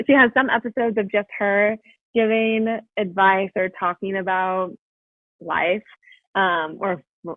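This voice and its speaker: A woman's voice: medium pitch, no echo. A young woman talking as a podcast guest in a chatty way, full of "like" fillers, over a video call. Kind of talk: casual interview chat. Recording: dry, phone-like call audio.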